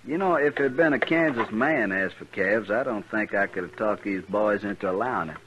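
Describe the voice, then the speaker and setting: Actor as low-voiced, proud Texan trail boss. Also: male voice, a little fast, fairly bright tone, lightly acted. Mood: guarded. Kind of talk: 1950s American western radio drama acting. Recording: muffled, noisy vintage radio recording.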